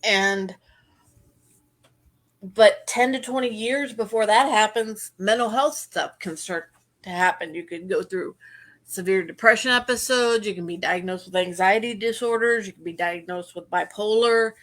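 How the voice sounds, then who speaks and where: A woman's voice: medium pitch, unhurried, a bit bright. A middle-aged woman co-hosting a podcast, talking in earnest, run-on explanations in casual chat. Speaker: podcast co-host.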